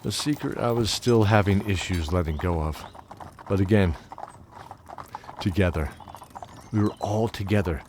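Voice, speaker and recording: An actor playing a weary, wistful old knight who tells his tale in measured, theatrical fantasy audio drama. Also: low-pitched, noisy background. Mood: wistful, earnest. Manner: measured, theatrical, chuckling reminiscence. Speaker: narrator and lead character. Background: music under the voice.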